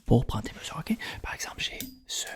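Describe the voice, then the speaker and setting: A man with a Quebec French accent doing an ASMR roleplay as an attentive tailor, in a breathy whisper, repeating words and phrases soothingly. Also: a little fast.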